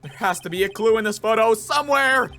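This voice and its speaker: A young man reacts to a game while he plays, thinking aloud and getting excitable. His voice is bright and his pitch jumps around a lot. Quiet game music plays beneath him. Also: fairly quick. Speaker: voice actor playing a game. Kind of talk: let's play reaction commentary.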